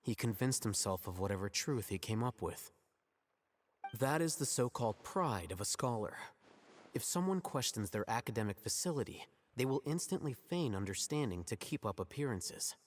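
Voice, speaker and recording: An actor playing an aloof, intellectual young male scholar in a video game, with a deadpan, condescending, measured delivery. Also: mid-range voice, long reverb tail, a little hiss or hum, lightly acted. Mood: dry, composed. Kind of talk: dramatic game character acting.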